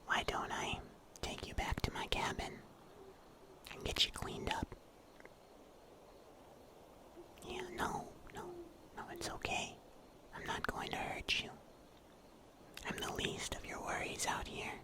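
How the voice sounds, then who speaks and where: Casting: male performer as mysterious huntsman of the dark woods, wry yet protective, breathy whisper, slow delivery, ASMR roleplay. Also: natural voice. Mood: caring, reassuring. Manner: slow, hushed, gently teasing.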